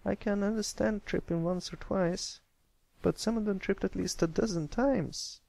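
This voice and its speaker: Man putting on a high-voiced, frank young filly. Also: normal speed, vocal fry, a little mellow, no echo. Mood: skeptical.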